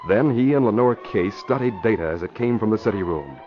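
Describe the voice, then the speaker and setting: A man's voice: lowish voice, expressive, fairly quick, faint music behind. A man announcing in 1940s radio style, with emphatic, polished scripted delivery. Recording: muffled vintage radio broadcast.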